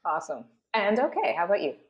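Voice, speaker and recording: A woman's voice: lowish voice, very animated, fast, highs cut off. A woman hosting a casual cast conversation as a voice director, with a jokey, affectionate manner and easy laughter. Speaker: host and voice director. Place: livestream, echoey room. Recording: roomy echo with light noise and clicks.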